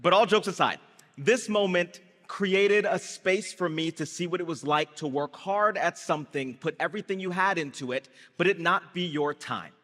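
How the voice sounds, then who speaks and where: A high, bright young man's voice carries through a large reverberant event hall. He is a founder from Brazil, raised in Guyana, telling his story to a live audience. He uses quick jokes and calls to the crowd, with the polish of a trained public speaker. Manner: energetic storytelling, comic timing, addresses the crowd. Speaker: startup founder giving a gala speech. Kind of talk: live stage speech with audience call and response.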